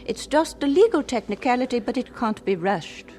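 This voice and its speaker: A devout, scrupulous widow played by a woman reads her letters earnestly in old radio drama fashion. Her voice sits fairly high and moves expressively up and down, with careful, measured phrasing. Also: lively intonation, warm tone, close to an everyday voice. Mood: apologetic, resolute.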